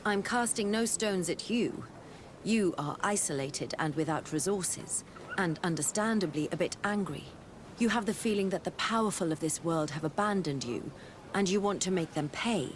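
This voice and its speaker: An actress playing a composed, persuasive female scholar in a video game cutscene, speaking in a measured, frank, reasoning way. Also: lowish voice, animated, background noise, lightly acted. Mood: calm, persuasive.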